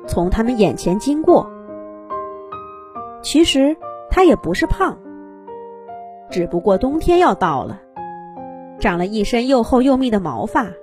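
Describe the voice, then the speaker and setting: A woman reading a children's fairy tale as an expressive storyteller. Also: a little high.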